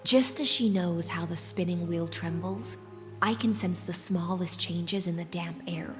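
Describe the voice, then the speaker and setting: Woman acting serene wistful fantasy lady for a game, lowish voice, poised n lyrical, music behind. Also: close to an everyday voice. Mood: gentle, wistful. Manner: poised, lyrical, formal, unhurried.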